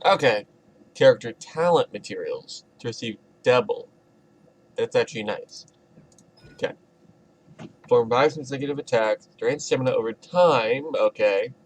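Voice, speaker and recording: A man's voice: medium pitch, moderate pace, long pauses, slight background noise, rough recording. A man giving casual gameplay commentary as a streamer, talking informally with rambling asides. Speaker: gaming streamer.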